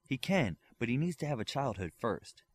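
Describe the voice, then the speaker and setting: A man's voice: medium pitch, sing-song, normal speed. A man voicing a composed, regal, worldly-wise woman in an audiobook. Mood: authoritative.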